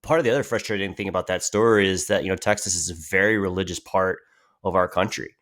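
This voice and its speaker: A man's voice: sits low, quick pace, fairly bright tone. A man hosting a podcast, responding to his guest in a reflective, thoughtful way. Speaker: podcast host. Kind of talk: casual podcast chat.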